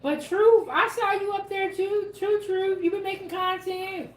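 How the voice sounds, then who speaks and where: A woman's voice: very high voice, steady tone, unhurried. A woman co-hosting a live cooking stream, chatting casually, reading viewer comments and laughing often. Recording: echoey room.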